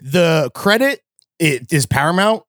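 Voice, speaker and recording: A man's voice: on the high side, a little slow, no room sound, studio quality. A man co-hosting a podcast, riffing in casual, profane banter. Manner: animated, profane, jokey riffing. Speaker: podcast co-host. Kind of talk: casual chat.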